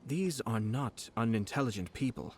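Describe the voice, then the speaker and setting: A man voicing a composed, shrewd young nobleman in an anime game, speaking in a measured, polite and formal way. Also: mid-range voice, lively intonation, a little mellow, slightly rough. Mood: shrewd, suspicious. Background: faint game music.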